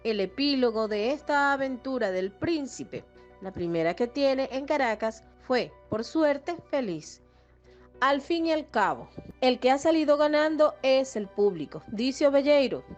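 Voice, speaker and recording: A woman giving scripted documentary narration, reading an old press report in a measured, formal storytelling way. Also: high voice, lively intonation, dull audio, faint music behind.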